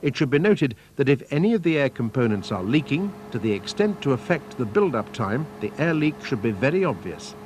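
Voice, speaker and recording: A man reading scripted technical instructions as a training film narrator, in a measured, methodical, formal manner. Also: mid-range voice, very animated. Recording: faint noise, archival training film soundtrack.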